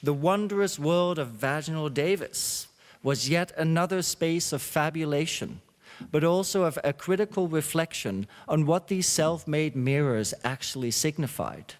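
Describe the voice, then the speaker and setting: A man hosting a symposium, reading a reflective introduction with many pauses and fillers. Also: fairly high pitch. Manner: halting, with frequent uh fillers. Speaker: symposium host. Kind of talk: read introductory speech. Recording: long hall reverb.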